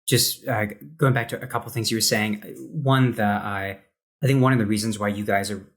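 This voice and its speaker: Guy podcast host, lowish voice, thinking out loud, lots of false starts. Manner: halting, searching for words, many false starts. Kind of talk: casual, reflective conversation.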